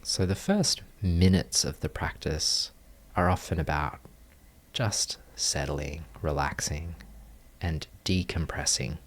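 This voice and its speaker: A man leading a guided meditation, giving gentle, calm instructions in measured phrases with long pauses. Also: extremely low pitch. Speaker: meditation teacher.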